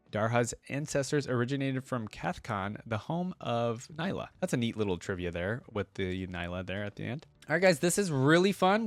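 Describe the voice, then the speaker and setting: A man's voice: mid-range voice, dry recording. Enthusiastic casual man reacting on video. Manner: enthusiastic, informal. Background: faint music, game voice lines.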